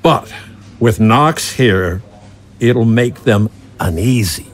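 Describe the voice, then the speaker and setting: A man's voice: medium pitch, lively intonation, slow. A man acting as a hawkish army general with a mid-range voice. He is impatient and military, in a radio-play style. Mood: uneasy. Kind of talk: audio drama acting.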